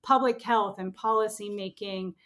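A woman's voice: mid-range voice, steady tone, moderate pace, fairly bright tone. A woman hosting a podcast, introducing and welcoming her guest with enthusiasm. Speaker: podcast host.

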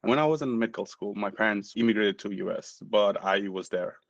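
A man's voice: lowish voice, fairly quick, vocal fry, no room sound, lo-fi sound. A man in his forties from Nepal, a physician telling his story in a casual interview, earnest and self-deprecating. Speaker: guest physician.

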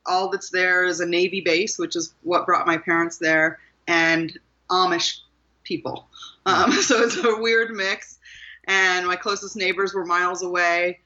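Guest author, woman, 40s, bright lower voice, thoughtful with ums, dull lo-fi line. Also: steady tone, unhurried. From southern Maryland, USA. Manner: thoughtful, with frequent ums and restarts. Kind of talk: interview conversation.

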